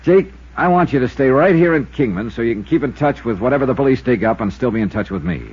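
Lively hard-boiled 1950s radio investigator. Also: male voice, medium pitch, fast talker, breathy edge. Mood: wry, firm. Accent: American. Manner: clipped, dry, hard-boiled. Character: tough, wry male insurance investigator narrating his own case. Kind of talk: 1950s radio detective drama acting and narration. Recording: dull lo-fi 1950s radio recording.

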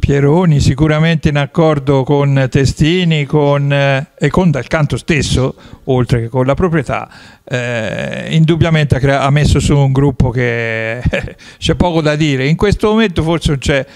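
Animated man with a handheld mic. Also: fairly high pitch, measured pace. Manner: animated, gestures while talking. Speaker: sports show host. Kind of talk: football talk show discussion. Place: studio with dark curtain.

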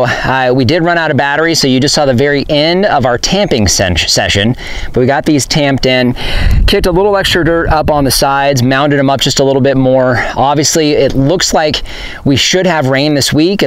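A man's voice: mid-range voice, fairly bright tone, smooth, dry recording. Practical man explaining outdoors, dry sound. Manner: plain, practical, talks with his hands. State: a little out of breath. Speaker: homesteading vlogger. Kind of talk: casual how-to explanation. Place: outdoors on open desert farmland.

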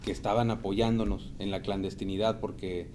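A man speaks at a press conference, hesitant and full of restarts. His voice is low and dark, picked up by a table mic in a small room. Faint noise sits behind him. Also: flat delivery, normal speed. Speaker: dissident party member at a press conference.

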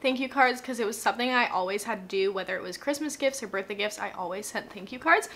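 A woman's voice: medium pitch, fast talker, fairly bright tone, slight background noise. A woman in her twenties chatting casually to the camera at home, bubbly and gushing, with lots of filler words. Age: 20s. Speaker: beauty vlogger. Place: home, bathroom and living room.

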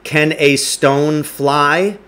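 A man's voice: fairly high pitch, fairly even intonation, very slow, fairly bright tone, clean tone. A middle-aged man reads a story aloud in a home living room. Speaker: teacher reading aloud. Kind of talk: audiobook-style story reading. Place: indoors, home living room with wood stove.

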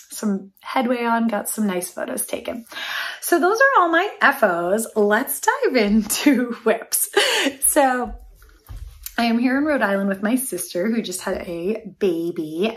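A woman in her forties chatting casually about her knitting projects, upbeat and thinking aloud, with frequent laughs. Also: medium pitch. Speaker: knitwear designer vlogger. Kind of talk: casual show-and-tell chat. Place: sunny room by a window.

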